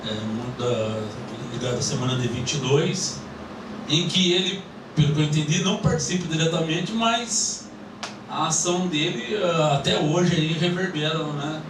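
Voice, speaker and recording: A man's voice: on the high side, moderate pace. Echoey far-off man hosting a panel. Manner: rambling, many fillers. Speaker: host. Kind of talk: moderating a panel talk. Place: small cinema club room. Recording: echoey room, mic from far off, noisy.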